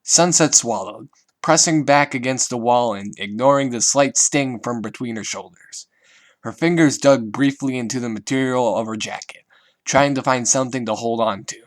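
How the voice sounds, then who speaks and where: Brief: male narrator, mid-pitched, steady storybook reading, soft delivery. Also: normal speed. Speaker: fanfiction narrator. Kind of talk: audiobook-style story narration.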